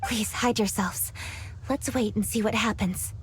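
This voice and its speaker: An actress playing a calm, resolute young female investigator who speaks in a composed, measured way, in a game cutscene. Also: mid-range voice, slight background noise, natural voice. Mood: determined.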